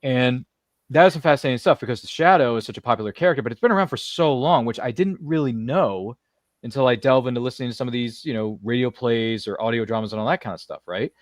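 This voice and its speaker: A man's voice: medium pitch, fast talker, no echo, studio quality. A man hosting a casual livestream chat in a jokey, chummy, rambling way. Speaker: podcast host.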